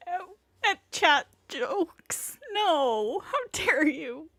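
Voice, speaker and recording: A woman's voice: high voice, lively intonation, drawn-out words, fairly bright tone, no echo. A woman livestreaming a game with casual reaction commentary.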